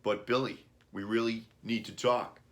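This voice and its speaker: A man reading as a low-voiced apologetic father. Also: level tone, unhurried, fairly bright tone. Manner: earnest, explaining. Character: apologetic, earnest father of young boy. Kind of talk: children's storybook reading.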